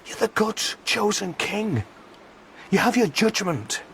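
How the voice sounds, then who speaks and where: A man's voice: high-pitched, very animated, takes it slowly, vocal fry, fairly bright tone, a little hiss or hum, heavily acted. An actor playing a loyal, firm counsellor to a king, formal and admonishing, in a radio drama. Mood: urging. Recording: light outdoor ambience behind voice.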